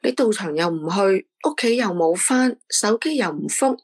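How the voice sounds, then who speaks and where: A woman's voice: mid-range voice, expressive, normal speed, a little mellow, breathy edge. A woman with a Hong Kong Cantonese accent voicing an anxious, doting single mother in a radio drama, who talks in a pleading, emotional way.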